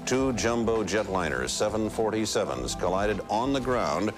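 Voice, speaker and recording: Deep-voiced archival news anchor. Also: male voice, very animated, normal speed, music in the background. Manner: formal broadcast delivery.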